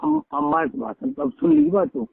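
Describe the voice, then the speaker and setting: A man's voice: normal speed, gravelly, no echo. A man in an informal phone conversation, pleading and repeating himself as he tries to be heard. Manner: pleading, repetitive, interrupting to be heard. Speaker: father-in-law on a family phone call. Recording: muffled low-fidelity phone call recording.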